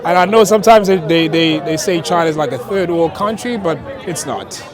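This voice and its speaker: A man's voice: fairly high pitch, a little fast. A man answering casually and informally in a street interview. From South Africa. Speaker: interviewee, foreign resident. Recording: outdoor street with crowd chatter. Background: urban crowd.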